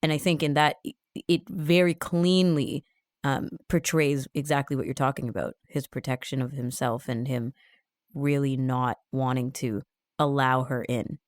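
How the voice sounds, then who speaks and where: A man interviewing in a conversational way, measured and often pausing mid-sentence as he discusses a story. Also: mid-range voice, level tone, dry recording, studio quality. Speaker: interviewer and reviewer.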